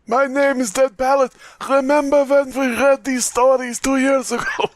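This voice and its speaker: A man voicing a cheeky high-pitched creature mascot in a comic podcast bit. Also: little pitch movement, normal speed, dry recording. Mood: cheeky, needling.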